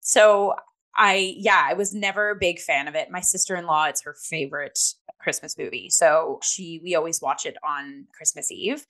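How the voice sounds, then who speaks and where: Young female talk host. Lowish, forward, bright tone; chatty, enthusiastic and emphatic review style. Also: moderate pace, rough recording. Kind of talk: casual review chat.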